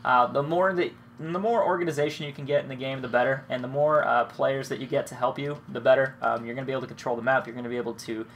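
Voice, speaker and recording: A man's voice: mid-range voice, steady tone, quick pace, vocal fry, hardly any pauses, fairly bright tone, highs cut off, a little hiss or hum. A young man with a cold and a stuffed-up nose, casually rambling and swearing as he comments on his own gameplay. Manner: rambling, laid-back, swears freely. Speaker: gaming commentator.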